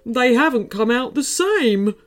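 A dozy male street cat with a slow, heavy drawl, put on by a woman reading a children's story. The voice sits a little high and rises and falls widely. It sounds a touch distant, with soft music underneath. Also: animated. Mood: drowsy. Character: sleepy lazy male street cat, gentle.

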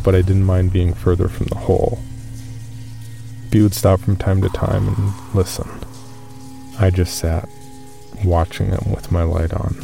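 A man playing an uneasy cave explorer, recounting his expedition in measured first-person horror narration. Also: deep voice, creaky, mellow. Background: music.

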